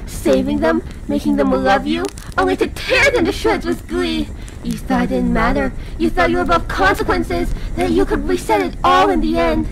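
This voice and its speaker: A woman's voice: high-pitched, slight background noise, poor audio. A woman playing a cold, sadistic villainous child, taunting and mock-sweet, in a dramatic comic dub.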